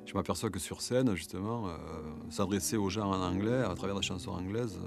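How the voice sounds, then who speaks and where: A man's voice: sits low, a little fast, gravelly, over music. A middle-aged man, a singer-songwriter, talking hesitantly with many fillers in a recording studio interview. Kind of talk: sit-down interview and studio singing.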